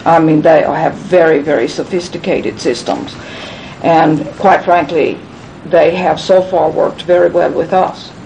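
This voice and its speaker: A woman's voice: a little slow, vocal fry, warm tone, low-quality recording. A woman giving a rambling, emphatic conspiratorial talk, answering questions at a gathering, repeating phrases and restarting sentences. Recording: low rumble noise in background.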